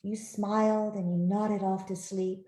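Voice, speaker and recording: A woman reading a picture book aloud to children in a gentle, expressive storytelling manner. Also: mid-range voice, measured pace. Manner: gentle, expressive, with soft asides to listeners. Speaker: author reading her own picture book. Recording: roomy, echoey sound.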